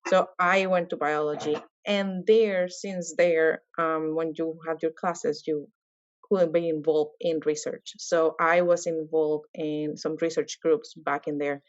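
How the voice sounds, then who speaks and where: Low-voiced colombian woman, chatty, lots of ums, dull dry call audio. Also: measured pace, no room sound. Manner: animated, many fillers and restarts. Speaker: guest, medical scientist. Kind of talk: casual interview answers.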